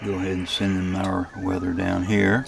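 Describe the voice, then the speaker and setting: Low, gravelly-voiced man narrating a demo. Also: completely flat delivery, moderate pace. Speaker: ham radio demonstrator and channel host. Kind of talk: casual screen-demo narration. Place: inside a camper. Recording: clear background electrical and radio noise. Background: radio interference noise.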